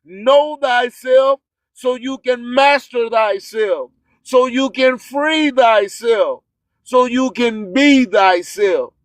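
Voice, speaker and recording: Real high voiced guy, radio host preaching street wisdom in rhymes, slow n emphatic, dry sound. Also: no echo, rough recording. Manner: preachy, emphatic, repeats slogans; streetwise slang with swearing. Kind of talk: motivational radio monologue in rhymed catchphrases.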